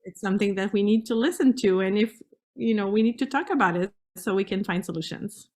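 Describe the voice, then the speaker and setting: A woman's voice: mid-range voice, brisk, darkish tone. A woman from Canada with a French-Canadian accent, a native French speaker explaining casually as a health specialist guest, sometimes searching for words. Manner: hesitant, searching for words in second language. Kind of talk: casual explanatory interview talk.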